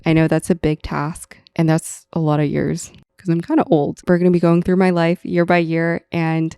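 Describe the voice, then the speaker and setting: A woman's voice: fairly low pitch, level tone, moderate pace, warm tone, smooth, no echo, studio quality. A woman in her twenties hosting a casual solo podcast, chatty and rambling, laughing often. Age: 20s. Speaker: podcast host.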